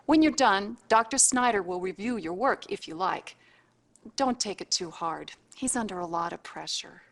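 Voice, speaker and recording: A woman acts out a female hospital assistant in a game cutscene. Her voice is mid-pitched. She sounds reassuring and speaks directly to the player. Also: lively intonation, normal speed, natural voice. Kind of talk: acted game cutscene dialogue addressed to the player.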